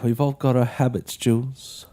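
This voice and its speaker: An actor playing an excitable, cocky young brother who spooks easily, in an audio drama. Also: male voice, mid-range voice, normal speed, lightly acted.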